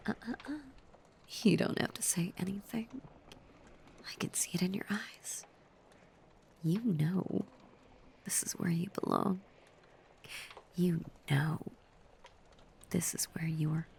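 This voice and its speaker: A woman voicing a possessive, obsessively loving female werewolf in an intimate, breathy, softly menacing ASMR roleplay. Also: on the low side, a little hiss or hum. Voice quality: breathy, whispery.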